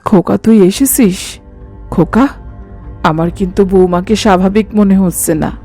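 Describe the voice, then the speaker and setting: Woman playing a suspicious cartoon mother-in-law, middle-aged, mellow tone, commanding, scolding, medium pitch. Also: a little slow, faint music behind. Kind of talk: Bengali cartoon voice acting.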